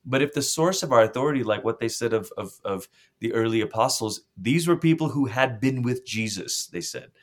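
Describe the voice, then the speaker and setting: A man's voice: mid-range voice, lively intonation, a little fast. A middle-aged pastor from Malaysia, speaking earnestly and reflectively in a conversational interview, often restarting his phrases. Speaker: pastor and author.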